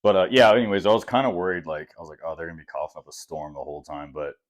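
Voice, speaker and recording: Casting: young man, extremely low deep voice, easygoing rambling podcast talk. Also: steady tone, rapid-fire. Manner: laid-back, rambling with many fillers. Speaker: podcast co-host. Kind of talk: casual chat.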